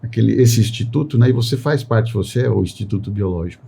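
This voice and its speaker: A man hosts a podcast in a loose, rambling way, tagging nearly every phrase with a filler question. His voice is low and dark, with a husky, rough grain. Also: fast. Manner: rambling, constant "né" tag fillers. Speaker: podcast host. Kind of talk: podcast conversation.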